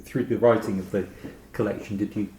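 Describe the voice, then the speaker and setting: A man's voice: fairly low pitch, fairly even intonation, brisk, mellow. Tentative male interviewer, echoey room. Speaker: podcast interviewer. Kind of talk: conversational literary interview. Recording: echoey room, faint background noise.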